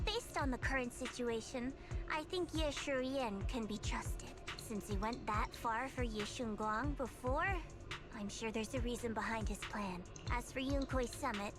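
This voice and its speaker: An actress playing a composed, decisive ally in a video game, assured and businesslike. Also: female voice, on the high side.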